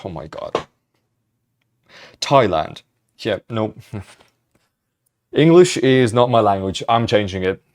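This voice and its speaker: A man's voice: on the low side, expressive, slow, pauses often, a little mellow. A young man answering viewer questions in a casual vlog, rambling, self-mocking and swearing offhandedly. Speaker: vlogger.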